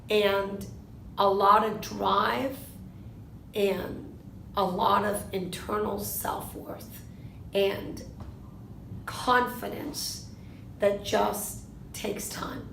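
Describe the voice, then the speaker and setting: A woman in her fifties, born in Argentina, answering interview questions in a measured way, often posing a question to herself and then answering it. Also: mid-range voice, creaky, roomy sound, a little hiss or hum, poor audio. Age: 50s. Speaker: interviewee, lawyer.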